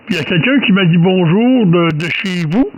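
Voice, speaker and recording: Québécois male radio amateur, mid-high voice, light static, casual and chatty. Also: brisk, rough recording. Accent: Québécois French. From Quebec. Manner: relaxed, rambling with many fillers. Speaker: ham radio operator. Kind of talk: casual radio contact chat.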